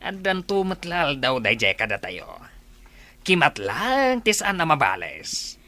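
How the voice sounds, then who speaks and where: A man's voice: on the high side, wildly swooping pitch, a little slow, pushed and bright, exaggerated voice. A man with an Ilocano accent playing a restless, vengeful robber boss in a radio drama.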